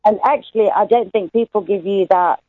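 A woman's voice: medium pitch, a little fast, no room sound, narrow, tinny audio. A woman in her thirties calling in to a radio show, chatty and effusive. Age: 30s. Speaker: caller. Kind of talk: casual phone-in chat. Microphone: telephone.

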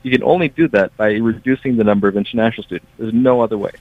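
Man, from Brazil, earnest, emphatic, low, quick, lo-fi dry line. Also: no echo. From Brazil, raised in the United States. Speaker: student union officer. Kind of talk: radio interview over a line. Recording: dull lo-fi line.

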